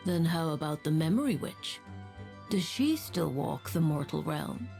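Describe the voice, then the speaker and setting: A woman's voice: sits low, expressive, a little slow, gravelly, dark tone, soft background music, full character voice. A woman playing a solemn witch with formal speech in a video game. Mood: solemn, inquiring. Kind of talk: video game character dialogue.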